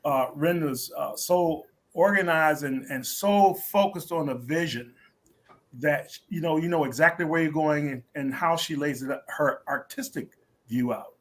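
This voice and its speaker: Earnest middle-aged man, slightly high voice. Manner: halting, earnest, polite. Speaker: stage actor, interview guest. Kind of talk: interview answer.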